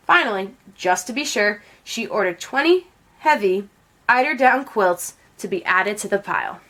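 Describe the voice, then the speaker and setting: Animated woman reading a storybook. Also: a little high. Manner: expressive storytelling. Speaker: storybook reader. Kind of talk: children's read-aloud narration.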